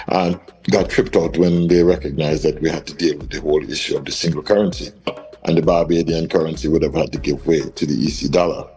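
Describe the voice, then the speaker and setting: A man in an interview, recounting an event in a measured way with frequent ums. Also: sits low, dark tone, rough recording.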